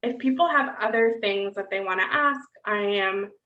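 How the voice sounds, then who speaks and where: A woman's voice: medium pitch, normal speed. A woman giving an online lecture and taking questions, talking in a loose conversational way with frequent "um" and "right?" tags. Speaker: guest lecturer. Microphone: video call. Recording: distant, roomy, dull video-call audio.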